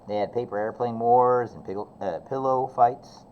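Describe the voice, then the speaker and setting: A man's voice: mid-range voice, normal speed. A man reading a children's storybook aloud expressively like a teacher, on a slightly dull, noisy recording. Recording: slightly dull, faint background noise.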